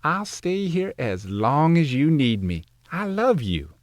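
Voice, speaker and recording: An actor playing a devoted but anxious, scheming husband in an audio drama. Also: male voice, fairly high pitch, very animated, takes it slowly, no room sound. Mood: tender, reassuring.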